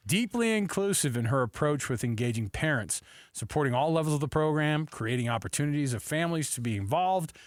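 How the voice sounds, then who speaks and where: A man's voice: medium pitch, dry recording. Chatty male sports radio host, dry studio sound. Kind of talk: casual sports radio talk. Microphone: close studio microphone.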